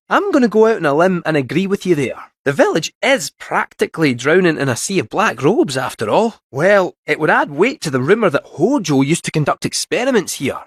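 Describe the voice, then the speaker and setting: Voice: medium pitch, breathy edge, no room sound, studio quality. An actor voicing a jaunty, theatrical robot cat with a Scottish accent in a video game, helpful, folksy and a little fussy. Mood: hesitant, earnest. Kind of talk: animated video game character acting.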